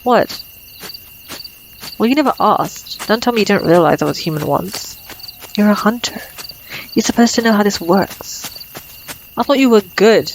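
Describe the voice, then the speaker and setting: A woman's voice: medium pitch, moderate pace, vocal fry, pauses often, a little hiss or hum, lightly acted, rough recording. Woman acting a teasing female vampire, night insects behind. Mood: teasing, smug. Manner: teasing, sardonic, taunting. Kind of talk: ASMR roleplay acting.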